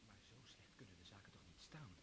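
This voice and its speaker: A man's voice: fairly low pitch, expressive, fast talker, noisy background, natural voice. An actor in a radio play, voicing a young nobleman and officer home on leave, eager and hearty. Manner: eager and hearty, turning dutiful.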